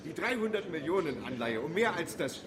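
A man's voice: fairly high pitch, sing-song, a little fast. A man playing a cocky, optimistic stock speculator in a German radio drama, boisterous and dismissive. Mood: boisterous confidence. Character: cocky, optimistic male bull speculator set on winning. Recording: radio play with restaurant crowd behind.

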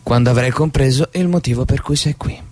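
An enigmatic guide from a desert limbo in a radio play, acted by a man. His mid-range male voice is measured and theatrical. He talks in a cryptic, knowing way. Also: mid-range voice, a little mellow, close to an everyday voice. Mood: cryptic, instructive. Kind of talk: theatrical radio drama dialogue.

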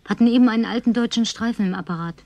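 An actress playing a tipsy, flirtatious, lonely woman survivor in a radio drama, coquettish and slipping into breathy whispers. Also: a little high, racing, dark tone, no echo, natural voice. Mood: tipsy reminiscing. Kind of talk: German radio drama acting.

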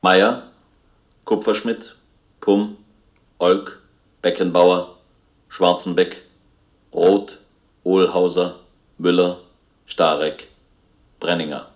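An actor playing a deliberate announcer calling out clipped items in a radio play. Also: male voice, low voice, steady tone. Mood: formal, deliberate. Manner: clipped list reading.

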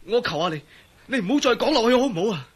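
A man's voice: very high-pitched, expressive, rapid-fire, breathy edge, exaggerated voice. An actor playing a desperate, horrified man in a Cantonese radio drama, overwrought and pleading, with a Hong Kong accent. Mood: pleading, fearful. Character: anguished, desperate man horrified at becoming a living skeleton.

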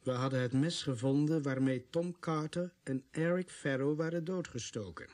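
An actor playing a sober policeman who narrates a radio play in measured, suspenseful phrases. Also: on the high side, a little mellow, dry recording, lightly acted. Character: sober policeman narrator recounting a murder case.

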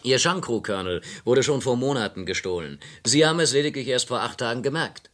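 An unflustered investigator acted by a man in an old German radio crime play. He explains matters politely and firmly, with a widely moving, animated melody in his medium-pitched voice. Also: fairly quick, lightly acted. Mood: matter-of-fact. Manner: polite but firm, explanatory.

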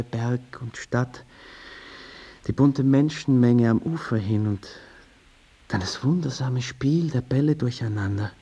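Husky, theatrical witty composer. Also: male voice, mid-range voice. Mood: wistful, enraptured. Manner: florid, animated, theatrical storytelling. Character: Mozart, witty exuberant composer, theatrical storyteller. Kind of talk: German radio drama monologue.

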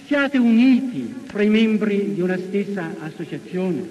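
A man's voice: high-pitched, animated, unhurried, a little mellow, highs cut off. A man giving a solemn, exhorting formal speech to an assembly. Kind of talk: formal oratorical public address to an assembly. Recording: echoey hall archive speech, faint noise.

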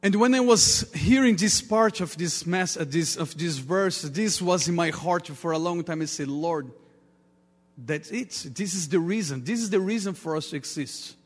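A man with a Portuguese accent, a native Portuguese speaker, preaching a sermon earnestly in halting English with repeated words. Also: on the high side. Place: large reverberant church room. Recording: reverberant hall with long echo.

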